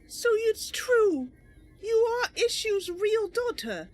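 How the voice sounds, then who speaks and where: Tiny, squeaky, plucky elf girl. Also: very animated, takes it slowly, darkish tone, exaggerated voice. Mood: earnest, sad. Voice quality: small, girlish. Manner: innocent, emotive. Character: tiny plucky young elf girl, eager, earnest would-be knight.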